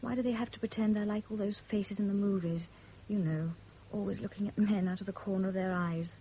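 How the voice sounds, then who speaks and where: An actress playing a devoted, anxious young wife in a radio drama, earnest and apologetic. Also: female voice, medium pitch, fairly even intonation, a little fast, close to an everyday voice. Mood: tender, wistful. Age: young adult. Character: anxious, devoted young pregnant wife, self-blaming and emotional. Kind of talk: period radio drama acting. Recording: dull, noisy old radio drama recording.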